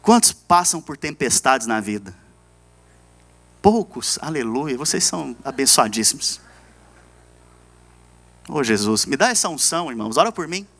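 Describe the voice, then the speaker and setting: A man's voice: medium pitch, sing-song, moderate pace, long pauses, a bit bright. A man with a Brazilian accent preaching an evangelical sermon as a pastor, emphatic, repeating key phrases and calling on the congregation to respond.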